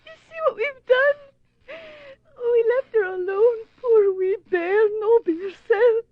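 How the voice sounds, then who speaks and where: High-voiced possessed wife, slow and menacing. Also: female voice, dry recording. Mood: anguished. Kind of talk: horror radio play acting. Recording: muffled lo-fi radio drama recording.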